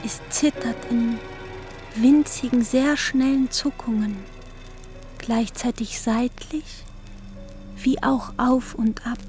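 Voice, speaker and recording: A woman reading literary prose slowly and deliberately in a radio play. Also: a little high, steady tone, darkish tone, faint music behind. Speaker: reader.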